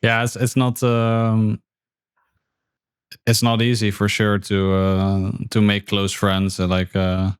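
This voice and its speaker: A man's voice: on the low side, completely flat delivery, slow pace, clean tone, no echo, clean studio sound. A man hosting a podcast, speaking thoughtfully with many fillers and repeated words. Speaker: podcast host. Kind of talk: casual conversation.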